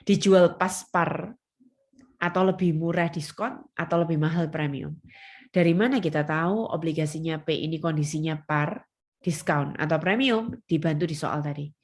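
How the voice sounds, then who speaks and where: A woman's voice: low voice, moderate pace. A woman teaches a class step by step. She is instructive and leans on key terms. She often poses a question and then answers it herself. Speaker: accounting lecturer. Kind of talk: step-by-step lecture to students.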